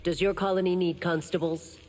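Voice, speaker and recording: An actor playing a forthright male warrior in a video game, with brisk, clipped delivery. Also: sits high, fairly even intonation, natural voice. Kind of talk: video game character dialogue. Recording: hall reverb, faint mechanical noise.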